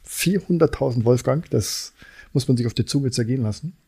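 A man hosting a podcast with playful, effusive banter and gentle teasing. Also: medium pitch, fairly quick, vocal fry, husky. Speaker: podcast host.

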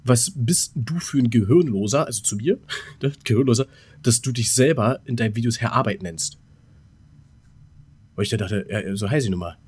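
A man's voice: mid-range voice, moderate pace, darkish tone, breathy edge. A man co-hosting a podcast, chatting casually in rambling anecdotes and often hesitating as he searches for words. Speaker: podcast co-host.

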